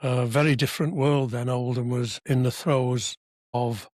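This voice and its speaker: A man in his seventies from Wales with a slightly husky voice, chatting through memories in an anecdotal way with dry asides. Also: mid-range voice, fairly even intonation, normal speed, a little mellow, no room sound. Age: 70s. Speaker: podcast guest, civic society member. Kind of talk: conversational reminiscence. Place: podcast studio.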